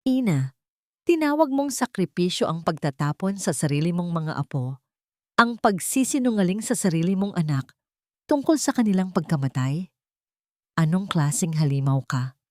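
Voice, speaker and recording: A woman voicing an anguished, accusing grown son in a dramatic story, with an intense, halting delivery. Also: fairly low pitch, sing-song, mellow, no echo, clean studio sound. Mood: outraged.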